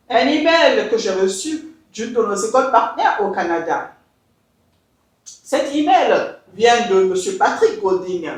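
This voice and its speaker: A woman from Mali speaks in an emphatic, deliberate way, leaning on key words. Her pitch moves up and down freely. The room around her rings with a heavy echo. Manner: emphatic, deliberate, switches into English. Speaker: education consultant. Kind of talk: scripted address to camera. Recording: very echoey room.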